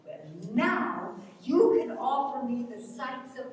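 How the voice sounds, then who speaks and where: Woman acting proud countrywoman, high voice, echoing hall. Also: expressive. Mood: pleading, tender. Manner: impassioned, pleading, quick to scorn. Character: proud, restless young Irish countrywoman longing for great cities. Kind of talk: dramatic stage reading of a rural Irish play. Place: large hall at a live reading. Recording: echoey hall, distant mic, faint noise.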